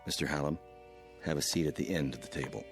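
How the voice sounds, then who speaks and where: An actor playing a curt, official government agent in a radio drama. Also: male voice, rumbling low, quick pace, over music. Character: clipped government agent in a gray suit.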